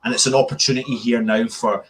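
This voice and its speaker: A man's voice: medium pitch, steady tone, quick pace, fairly bright tone. A man hosting a live football chat, talking conversationally and often repeating words as he goes. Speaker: football fan channel host.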